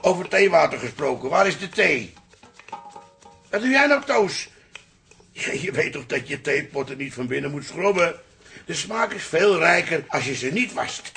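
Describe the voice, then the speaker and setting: Casting: jovial old pub-keeping dad, grumbly, creaky, fairly high voice, radio drama. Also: male voice, big pitch swings, normal speed, sharp tone, soft background music, heavily acted. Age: older. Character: jovial, grumbly old working-class father and bar keeper. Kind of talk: naturalistic radio drama acting.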